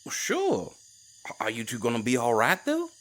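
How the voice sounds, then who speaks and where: A man voicing a small, excitable frog-like druid in an audio drama. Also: mid-range voice, normal speed. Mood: eager, folksy. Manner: folksy, colloquial, animated.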